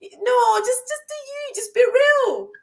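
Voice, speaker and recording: A high-pitched woman hosts a relaxed podcast conversation. She drives her points home by repeating short phrases with rising force, and she talks as an encouraging coach would. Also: slow. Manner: emphatic, repeats phrases for stress. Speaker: podcast host. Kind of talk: casual chat about confidence.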